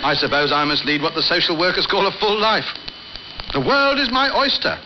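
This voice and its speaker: Fussy british radio ham, highish voice going all over, old comedy, loud static. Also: male voice, very animated, fairly quick. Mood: self-satisfied, pompous. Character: exasperated, self-important amateur radio enthusiast, a man. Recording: muffled, very noisy shortwave radio broadcast.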